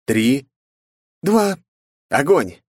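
A crude, irritable young male hero, read by the man narrating, cursing and snapping, his voice jumping around in pitch. it sounds close and dry, with no room sound. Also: very animated, very slow, dry recording, heavily acted. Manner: swearing, gruff, in pain. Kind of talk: audiobook character voice.

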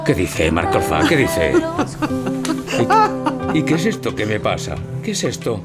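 An actor playing a timid, naive older bridegroom in a stage tragicomedy, hesitant, anxious and full of wonder. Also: male voice, on the low side, very animated, measured pace, over loud music. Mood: anxious, bewildered. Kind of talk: theatrical tragicomedy audio drama.

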